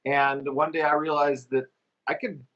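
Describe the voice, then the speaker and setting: A man's voice: on the high side, level tone, normal speed, muffled recording. A middle-aged man talking casually as a musician guest, telling his story in a conversational way.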